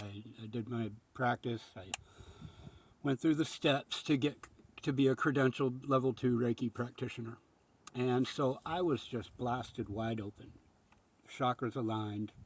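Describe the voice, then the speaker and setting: A man with a husky voice giving a rambling, reflective spiritual monologue in an earnest, contemplative manner with emphatic pauses. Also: medium pitch, darkish tone. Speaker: spiritual speaker.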